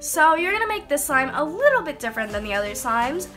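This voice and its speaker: A woman's voice: sits high, very animated, quick pace, sharp tone. A young woman getting over a cold, hosting a slime-making tutorial in a bubbly, enthusiastic voice-over, explaining each step. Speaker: slime-making channel host. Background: background music.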